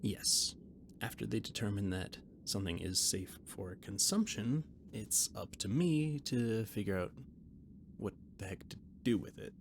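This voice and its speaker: Casting: male actor as nervous, nerdy catboy ship's cook, low creaky voice, evasive rambler, for intimate roleplay audio. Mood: explanatory, rambling, then exasperated rambling. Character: flustered, rambling catboy ship's cook and mechanic, eager friend. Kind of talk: intimate one-sided roleplay audio drama. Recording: noticeable background noise and ambience.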